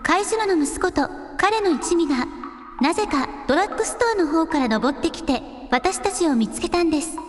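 A woman voicing a gentle, earnest young shrine maiden who speaks in very polite, measured Japanese radio drama style. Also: extremely high pitch, lively intonation. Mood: troubled. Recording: long reverb with music behind.

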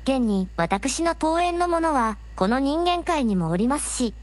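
A woman's voice: high-pitched, sing-song, unhurried. A woman playing a polite young fantasy princess who speaks very formally in an audio drama. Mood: gracious, devoted. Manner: very formal, courteous speech. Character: polite, gracious young dragon-clan princess in human form. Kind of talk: Japanese audio drama acting.

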